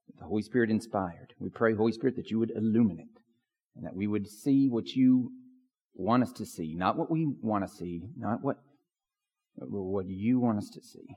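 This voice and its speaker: A man preaching as a pastor, with a hoarse voice and lots of fillers and restarts. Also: fairly low pitch, normal speed, darkish tone. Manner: filler-heavy, restarts often. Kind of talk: prayer and sermon. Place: church sanctuary. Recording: long church reverb.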